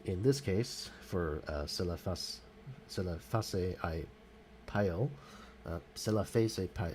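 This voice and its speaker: A man with a very low voice talks through music history in a loose, unscripted way. He fills pauses with ums and often corrects himself mid-sentence. A faint hiss sits under the recording. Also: a little mellow. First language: English. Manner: hesitant, many fillers and self-corrections. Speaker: music vlogger. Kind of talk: casual spoken music commentary.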